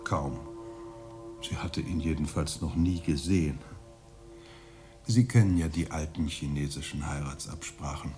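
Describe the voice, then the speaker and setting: Extremely deep, serene monastery sage. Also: male voice, a little mellow, faint music behind. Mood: serene, courteous. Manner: measured, courteous, discreet. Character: serene, wise male monastery elder. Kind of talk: radio drama acting.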